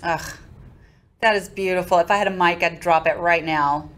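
A woman's voice: lowish voice, fairly even intonation, normal speed. Chatty woman host, slight background noise. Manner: enthusiastic, chatty. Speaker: podcast host. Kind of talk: casual interview chat. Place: home room with window, on video call. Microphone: studio mic on stand.

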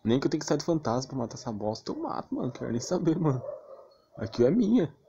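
A man's voice: mid-range voice, big pitch swings, quick pace, gravelly, mellow, lo-fi sound, slight background noise. A man with a Brazilian accent giving casual, slangy, blunt gaming commentary with mild cursing. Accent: Brazilian Portuguese. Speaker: gameplay streamer.

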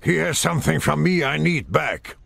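An actor playing a gruff, weary veteran military commander with a gravelly, husky voice in a video game. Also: male voice, on the high side, very animated, normal speed, fairly bright tone, dry recording, heavily acted. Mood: tense. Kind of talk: video game cutscene acting.

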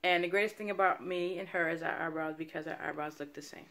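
A woman's voice: on the low side, steady tone, fast, a bit bright. A woman in her thirties chatting playfully in a home vlog, filmed on a phone camera at arm's length on a staircase. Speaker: family vlogger. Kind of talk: casual home vlog chat.

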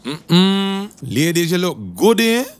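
A man's voice: high-pitched, expressive, takes it slowly, clean tone. A man playing a cocky Jamaican ladies' man with a flirtatious, boastful, taunting manner in a comic dub.

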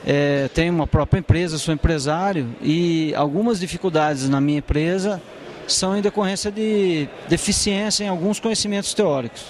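A man in his forties, an engineering student who runs his own business, speaking in a measured, hesitant way into a handheld mic in a university corridor. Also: fairly high pitch, slight background noise. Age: 40s. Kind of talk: documentary interview.

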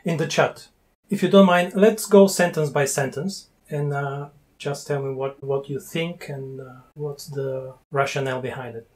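A man from Bulgaria in his forties, chatting on a video call through an earphone mic, playful and teasing, pausing to search for words. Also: medium pitch, fairly even intonation. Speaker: language learner interviewing a tutor. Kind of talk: casual video-call chat. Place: small room indoors with wooden doors. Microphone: wired earphone mic on a video call.

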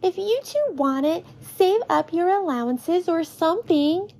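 A woman's voice: very high-pitched, expressive, unhurried, a little mellow, pitched above the performer's own voice, exaggerated voice. A woman voicing a sensible, scolding young village girl in storybook dialogue, matter-of-fact and chiding.

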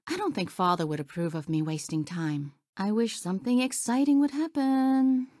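A young woman voicing a brave, earnest, good-hearted young woman warrior for a video game, in expressive short lines. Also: mid-range voice, normal speed, no room sound, clean studio sound, natural voice. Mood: musing. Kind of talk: video game character voice clips.

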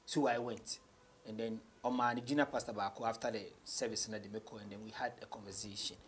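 A man's voice: on the low side, steady tone, normal speed, slight background noise. A man in his thirties with a Ghanaian accent, answering casually as a rapper being interviewed, slipping in local phrases. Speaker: guest rapper. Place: small studio office, indoors.

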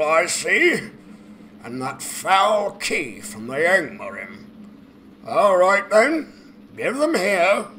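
A man voicing a gruff, businesslike dwarf smith with a blunt, practical manner in a fantasy game. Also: high-pitched, fairly bright tone, a little hiss or hum, exaggerated voice, poor audio. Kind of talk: dramatized fantasy game quest dialogue.

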